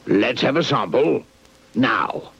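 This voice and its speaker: A man's voice: mid-range voice, animated, slow, creaky, fairly bright tone, slightly rough, voice pushed up, full character voice. A man voicing a bossy, blustering toy commander in a children's puppet show, barking pompous demands and threats on a muffled old videotape. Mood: demanding. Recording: muffled, hissy old VHS tape.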